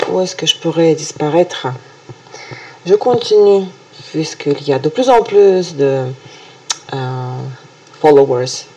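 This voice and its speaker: A woman with a Russian accent, a native Russian speaker, presenting a weekly horoscope video in a halting way full of hesitations and restarts. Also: sits low, darkish tone. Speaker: astrologer.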